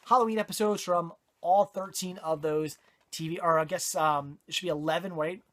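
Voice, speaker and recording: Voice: mid-range voice, steady tone, normal speed. A horror movie reviewer talks through a casual video review while losing their voice. They ramble and double back with plenty of ums, restarts and corrections. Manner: rambling, self-correcting, many fillers. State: hoarse, voice giving out.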